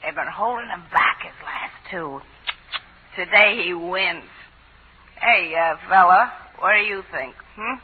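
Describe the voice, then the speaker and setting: An actress playing a jittery, boozy gambling woman in a halting, rambling manner in a 1940s radio drama. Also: on the low side, husky, heavily acted. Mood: jittery, insistent. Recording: tinny, muffled 1940s radio broadcast.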